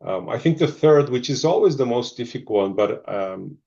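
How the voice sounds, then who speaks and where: A middle-aged man, answering interview questions thoughtfully and pausing often with ums and restarts. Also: mid-range voice, animated, vocal fry, dark tone. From Zimbabwe, Portuguese family. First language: Portuguese. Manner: hesitant, many ums and restarts. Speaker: pharma executive guest. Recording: dull lo-fi audio.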